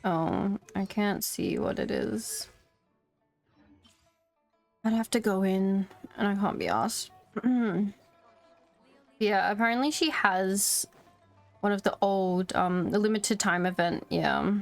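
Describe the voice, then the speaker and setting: A woman chatting casually on a gaming livestream, making relaxed, rambling asides. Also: medium pitch, takes it slowly, creaky, pauses often, soft background music. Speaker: live game streamer.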